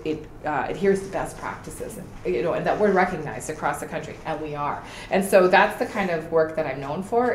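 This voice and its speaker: A middle-aged woman with a low voice talks with passion and emphasis, answering interview questions. The sound is roomy, with a faint hiss underneath. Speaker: political candidate. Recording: echoey room with faint noise.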